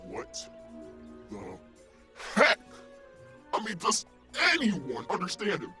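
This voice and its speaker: A man's voice: sits high, huge pitch swings, takes it slowly, bright, forceful tone, faint music behind, full character voice. A man playing an easily outraged comic supervillain in a radio play, ranting in exasperated disbelief. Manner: ranting, incredulous. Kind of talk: comedic radio play acting.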